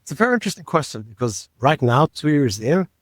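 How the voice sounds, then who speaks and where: A man in his thirties from Israel with an Israeli accent, co-hosting a casual podcast and talking in a halting, joking way with fillers. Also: medium pitch, very animated, dry recording, studio quality. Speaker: podcast co-host. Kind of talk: casual chat.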